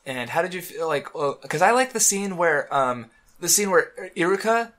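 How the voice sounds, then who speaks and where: A man chatting casually on a podcast, rambling and restarting his sentences. His voice is bright and forceful, pitched a touch high, with a slight hoarseness. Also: very animated. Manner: rambling, halting, many restarts and fillers. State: throat-clearing, a bit hoarse. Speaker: podcast co-host. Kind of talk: casual chat about manga.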